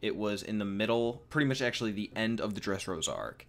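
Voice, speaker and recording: A man's voice: lowish voice, animated, quick pace, vocal fry. A 21-year-old man casually answering viewer questions in a rambling, jokey way. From United States. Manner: rambling and jokey, with fillers and repeats. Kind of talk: casual Q&A vlog.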